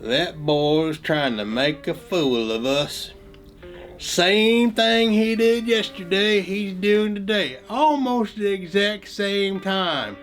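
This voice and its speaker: A man's voice: high voice, takes it slowly, a bit bright, faint music behind, pitched above the performer's own voice. A man voicing a skeptical, busy villager in a folk tale, drawling complaints. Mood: grumbling.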